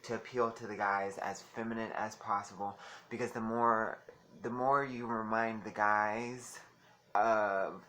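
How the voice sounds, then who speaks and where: Male vlogger, soft bright voice, chatty and giggly, faint hiss behind. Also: on the low side, fairly even intonation, measured pace. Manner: chatty, giggly, calls listeners honey. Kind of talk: casual talk to camera.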